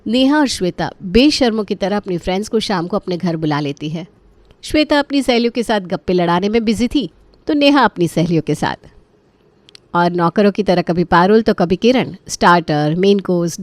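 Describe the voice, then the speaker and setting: A woman's voice: fairly low pitch, expressive, moderate pace, a little mellow, no room sound. A woman reading a scripted story as the narrator.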